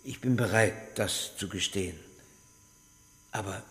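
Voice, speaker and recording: Embattled male prisoner, radio drama: stubborn, earnest, tense sharp voice, echoing interrogation room sound. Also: fairly low pitch, unhurried, soft background music. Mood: weary, conceding. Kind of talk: German radio drama acting. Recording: roomy echo, long reverb.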